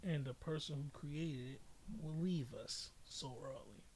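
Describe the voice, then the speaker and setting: A man's voice: fairly high pitch, measured pace, a little mellow, a little hiss or hum. A man giving casual, opinionated podcast commentary as a host, speaking bluntly and emphatically with frequent swearing.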